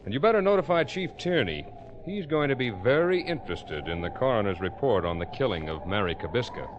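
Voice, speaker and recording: A man's voice: mid-range voice, big pitch swings, fairly quick, highs cut off, close to an everyday voice. An actor playing a terse, commanding male police lieutenant in an old radio crime drama. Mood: grim, authoritative. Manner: terse, matter-of-fact, authoritative. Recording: faintly noisy old radio recording.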